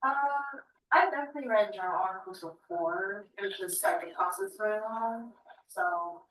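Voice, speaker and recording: A woman's voice: medium pitch, moderate pace, fairly bright tone, a little hiss or hum. Roomy far-off mic, young woman chatting casually in a group. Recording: roomy, distant microphone.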